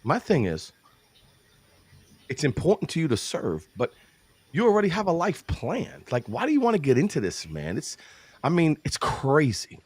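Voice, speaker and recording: A man's voice: fairly high pitch, wildly swooping pitch, moderate pace, darkish tone, husky, dry recording. A man from New York hosting a casual interview show, hyping his guest, laughing often and calling him brother. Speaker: show host.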